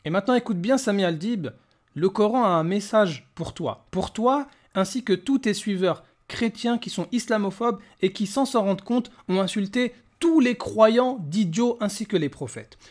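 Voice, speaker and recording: A man's voice: high voice, expressive, normal speed, a little mellow. A man hosting a polemical commentary, talking in a mocking, combative and emphatic way. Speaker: YouTube host.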